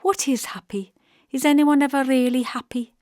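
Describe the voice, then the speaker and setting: A woman voicing a brittle, wistful young pregnant wife in an audiobook, with a detached manner that drifts from bright to toneless. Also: high-pitched, steady tone, moderate pace, no echo, studio quality, voice pushed up, close to an everyday voice.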